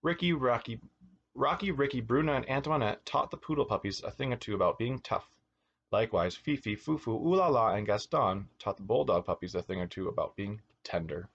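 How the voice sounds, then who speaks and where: A man's voice: medium pitch, a little fast, breathy edge, muffled recording. A man reading a children's storybook aloud as a teacher, expressively, with asides to his young listeners. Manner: expressive, acts out words and exclamations.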